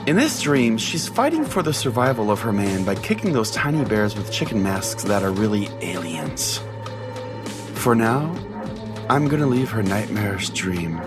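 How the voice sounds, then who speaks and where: A man's voice: fairly low pitch, big pitch swings, moderate pace. A man playing an eccentric pastor who hosts a late-night call-in radio show in a theatrical, mock-solemn way. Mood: rambling, absurd. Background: music.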